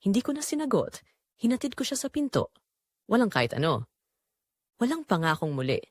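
Woman acting wistful Filipina wife, lively, swooping intonation. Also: medium pitch, brisk, no room sound, clean studio sound. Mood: reflective, moved. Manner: introspective, confessional. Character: Melissa, quiet long-neglected wife and mother, wistful, tender. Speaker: narrator of an audio drama. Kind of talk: first-person romantic drama narration.

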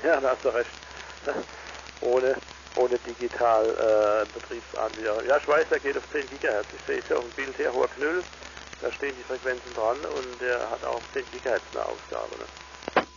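A man's voice: mid-range voice, moderate pace, creaky. A man chatting casually as an amateur radio operator over a two-way transmission. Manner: tags statements with "ne?". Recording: dull, noisy ham radio transmission.

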